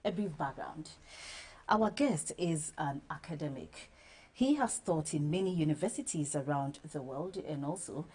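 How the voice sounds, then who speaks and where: A woman's voice: sits low, darkish tone, slightly rough. A woman hosting a broadcast TV interview, reading a scripted introduction of her guest and then asking short questions. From Ghana. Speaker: TV interview host.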